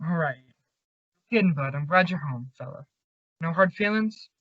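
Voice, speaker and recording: A man's voice: a little high, lively intonation, moderate pace, no room sound. A man acting a wounded, resentful young small-town pal in an old-time radio suspense play, curt and sardonic. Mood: grudging, softening. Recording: slightly dull, muffled audio.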